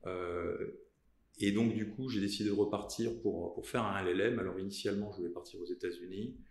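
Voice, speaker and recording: A man in his thirties from southern France, a lawyer telling the story of his career in a podcast interview, pausing often with fillers and restarts. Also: low-pitched, fairly even intonation, darkish tone, roomy sound. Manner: halting, many fillers and restarts. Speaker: lawyer guest.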